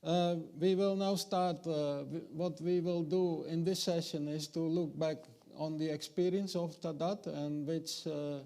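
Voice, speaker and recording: A man's voice: a little high, steady tone, unhurried, vocal fry, few pauses, dark tone. A man moderating a conference panel, introducing speakers formally and a little hesitantly.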